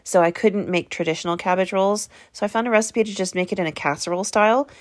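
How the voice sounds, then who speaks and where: A woman's voice: mid-range voice, quick pace. An American woman giving a casual, chatty recipe voice-over as a home cooking vlogger, with an enthusiastic, folksy manner.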